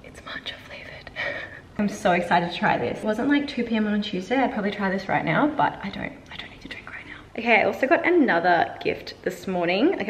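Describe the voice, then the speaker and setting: A chatty Australian woman in her twenties vlogging casually to camera with a croaky voice, sometimes dropping to a soft whisper. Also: mid-range voice, slight background noise. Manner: chatty, bubbly, often drops to a soft whisper. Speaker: lifestyle vlogger. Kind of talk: casual vlog chat to camera. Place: bright open-plan apartment, indoors.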